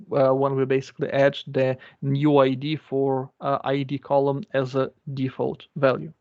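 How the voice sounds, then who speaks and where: A man's voice: on the high side, no echo. Man explaining code in non-native English. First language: not English. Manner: explanatory, repeats phrases, many fillers. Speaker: guest speaker. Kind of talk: live coding demo. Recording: dull lo-fi dry sound.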